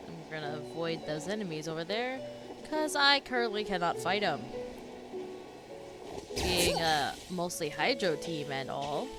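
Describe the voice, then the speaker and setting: Lowish-voiced woman streamer over game music. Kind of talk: casual gameplay commentary.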